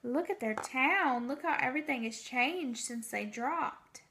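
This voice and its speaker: A woman's voice: high-pitched, lively intonation, a little slow, sharp tone. A woman reading a children's storybook aloud and putting questions to young listeners.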